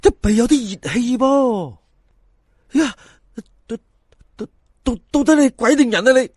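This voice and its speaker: A man's voice: very high-pitched, lively intonation, measured pace, no echo, studio quality, pitched above the performer's own voice, exaggerated voice. A man voicing a plain-spoken, superstitious river boatman from a folk tale, with a Hong Kong Cantonese accent and colloquial speech. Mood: panicked, stammering. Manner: colloquial, stammering when scared.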